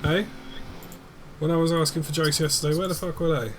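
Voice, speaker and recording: A young man, chatting in a relaxed way during a gaming stream and swearing casually. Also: on the high side, brisk, a little hiss or hum.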